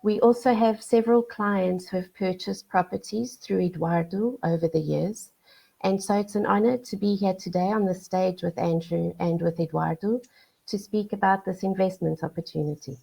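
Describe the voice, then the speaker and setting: A woman's voice: medium pitch, moderate pace, gravelly, mellow, breathy edge, rough recording. A woman from South Africa with a South African accent, a lawyer formally introducing herself on a webinar panel.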